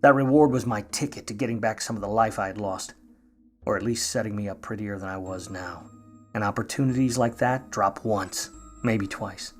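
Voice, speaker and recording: An actor playing a wry, wisecracking private eye in a 1950s noir radio play, narrating and trading slangy lines. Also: male voice, fairly low pitch, close to an everyday voice. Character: wry, grudging hardboiled male private eye.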